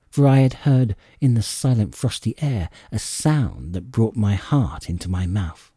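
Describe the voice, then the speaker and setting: Dry close recording, guy reading an adventure audiobook, really lively pitch, mellow. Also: breathy edge, dry recording. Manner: expressive, measured storytelling. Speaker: audiobook narrator. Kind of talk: dramatic scripted storybook narration.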